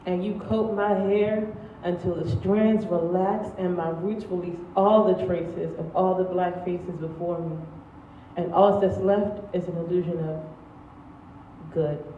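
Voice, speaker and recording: A young woman recites a poetic monologue with deliberate rhythm. She sounds far from the mic on a roomy stage, in a muffled recording. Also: lowish voice, fairly even intonation. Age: young adult. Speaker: student spoken-word performer. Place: stage in a large room. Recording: muffled, echoey, distant, faintly noisy.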